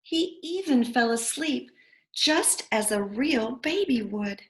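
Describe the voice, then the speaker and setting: A woman reading a picture book aloud to young children with expressive storytelling. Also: a little high, slow pace. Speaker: preschool storyteller. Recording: echoey room.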